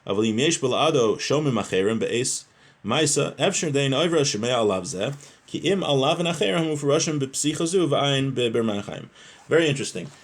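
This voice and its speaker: A man's voice: medium pitch, animated, fairly quick. A man with a mid-range voice teaches religious law. He reads passages aloud and then explains them in plain terms, rephrasing points and putting short questions to his listeners. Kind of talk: religious lesson, reading a text aloud and explaining it.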